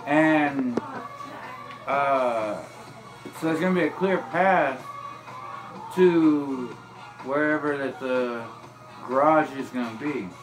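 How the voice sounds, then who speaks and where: A man walking through a driving game, talking in a relaxed, rambling way. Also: mid-range voice, takes it slowly, pauses often, poor audio. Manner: relaxed, rambling, drawled, says y'all. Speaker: gameplay tips narrator. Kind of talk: casual gameplay walkthrough. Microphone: phone filming a TV screen. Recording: game music playing behind.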